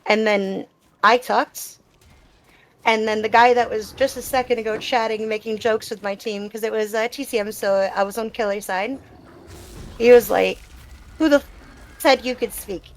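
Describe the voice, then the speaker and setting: A woman's voice: fairly high pitch, fairly even intonation, measured pace, rough recording. A woman gamer answering questions in a casual live Q&A, talking in a reflective, explanatory and conversational way. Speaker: gamer being interviewed. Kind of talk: live Q&A, casual personal talk.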